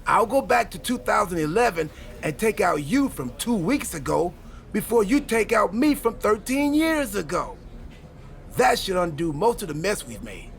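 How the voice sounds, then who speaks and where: A man playing an excitable, goofy time-travelling wrestler in a video game cutscene, wisecracking through dramatic exposition. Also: sits high, normal speed, gravelly, exaggerated voice. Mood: eager planning.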